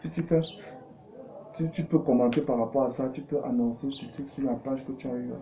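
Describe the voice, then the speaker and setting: A man's voice: mid-range voice, brisk, gravelly. A middle-aged man talking as a coach, explaining conversationally with examples and rhetorical questions. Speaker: business coach and consultant. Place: small indoor studio office. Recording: muffled, dark, noisy room recording.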